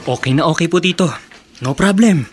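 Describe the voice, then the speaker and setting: A man's voice: fairly high pitch, a little fast, faint music behind, close to an everyday voice. A man acting a smug, scheming young suitor. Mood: smug, casual. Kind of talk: Tagalog radio drama acting.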